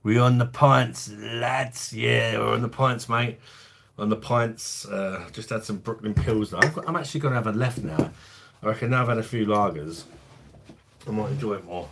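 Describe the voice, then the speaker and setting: A man's voice: moderate pace, gravelly, noisy background. A man, casually chatting and laughing while hosting a beer review live stream on his phone. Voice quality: deep voice. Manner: laid-back banter, laughs often, swears.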